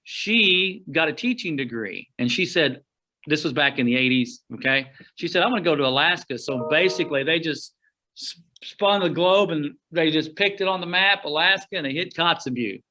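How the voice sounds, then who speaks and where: Bright-voiced folksy man from Oklahoma. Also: on the high side, lively intonation, moderate pace, poor audio. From Oklahoma, USA. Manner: rambling and folksy, frequent "uh" and restarts. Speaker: guest, outdoor writer. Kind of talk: casual storytelling interview.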